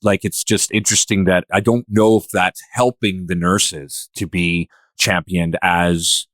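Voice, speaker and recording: Male podcast host, very low register, dry studio sound, conversational and a little meandering. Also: flat delivery, unhurried, fairly bright tone, no room sound. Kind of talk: casual discussion.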